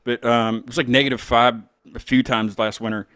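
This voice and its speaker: A man's voice: mid-range voice, level tone, brisk, a bit bright, clean tone, no room sound. Casting: American male interview host, mid-range voice, informal and rambling, dry close recording. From United States. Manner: rambling, informal, mild swearing. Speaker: interviewer, music show host. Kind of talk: casual chat.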